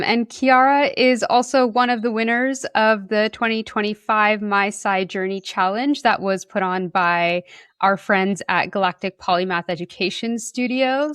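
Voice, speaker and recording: A woman's voice: medium pitch, a bit bright, smooth, dry recording, studio quality. A young woman hosting a science podcast, upbeat and friendly as she welcomes listeners and poses questions. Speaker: podcast host.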